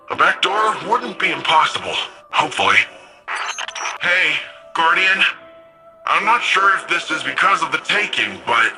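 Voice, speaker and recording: A man's voice: a little high, sharp tone. A man playing a friendly, wry, tech-savvy ally in a sci-fi video game, chatty and quippy. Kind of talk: video game character dialogue.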